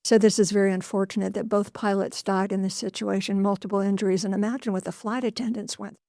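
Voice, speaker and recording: A woman working as a news correspondent, explaining a story in a deliberate way, restating key points as she goes. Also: mid-range voice, fairly even intonation, vocal fry, mellow, no echo, studio quality. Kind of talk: news report, semi-scripted explanation.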